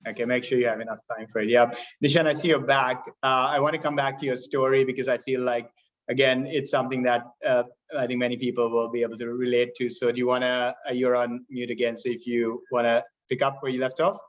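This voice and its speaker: A man moderates an online panel in a calm, measured way, with a steady, nearly flat delivery. His voice comes through muffled, lo-fi video-call audio. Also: mid-range voice.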